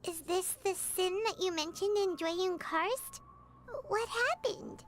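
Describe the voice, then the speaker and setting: A woman's voice: very high voice, takes it slowly, a little hiss or hum. A woman voicing a devoted young granddaughter in a video game, earnest, anxious and loving. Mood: puzzled. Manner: earnest, pleading. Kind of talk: dramatic video game voice acting.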